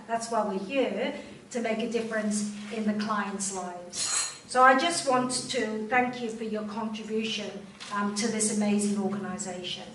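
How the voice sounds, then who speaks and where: A woman's voice: mid-range voice, slight background noise. Welsh woman speaking in an echoey hall. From Wales. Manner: warm, informal speaking with many ums and direct questions to the audience. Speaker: chief executive giving a speech. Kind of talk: speech to an audience at an event. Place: large room at a volunteer event. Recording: echoey room, voice from far off.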